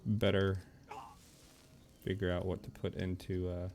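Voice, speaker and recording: A man streaming a game with low-key, musing commentary, in a casual live style. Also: monotone, measured pace, a little mellow, slight background noise. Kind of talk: casual live gameplay commentary, sometimes reading game text aloud. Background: faint game music.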